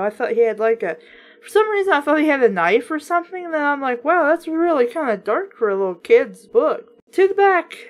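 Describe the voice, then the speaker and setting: A woman reading a children's book aloud in a casual way, breaking off for chatty, wry, skeptical commentary. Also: sits high.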